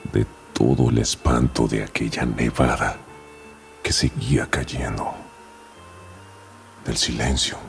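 An actor playing an anguished, horrified man trapped in a deadly snowfall in a radio drama, with a gravelly, haunted, desperate delivery. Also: a bass voice, slight background noise, exaggerated voice. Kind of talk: radio drama acting and narration.

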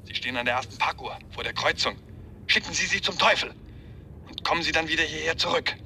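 An actor playing a cold, commanding kidnapper making threats in a German radio crime drama. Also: male voice, a little high, lively intonation, fast, pushed and bright, a little hiss or hum, full character voice. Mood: threatening. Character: menacing male kidnapper issuing threats by telephone.

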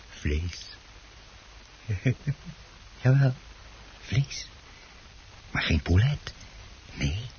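An actor playing a stubborn, obsessive hobby gardener and exasperated husband in a radio play, with a defensive, insistent way of talking. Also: male voice, deep voice, darkish tone, full character voice. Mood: eerily eager, uneasy. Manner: defensive, insistent, muttering to himself when alone. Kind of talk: Dutch radio play acting. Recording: dull, noisy old radio recording.

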